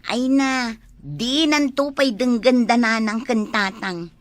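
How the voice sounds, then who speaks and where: A woman plays a gossipy Ilocano village confidante. Her voice is fairly high and recorded dry, in comedy drama style. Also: slow, no room sound. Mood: curious, sympathetic.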